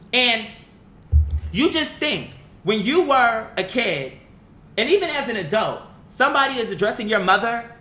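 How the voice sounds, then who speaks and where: A woman's voice: a little high, pushed and bright. A woman giving blunt, opinionated casual commentary in an exasperated, emphatic way, swearing freely and arguing through rhetorical questions. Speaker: online commentator. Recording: echoey room with faint background noise.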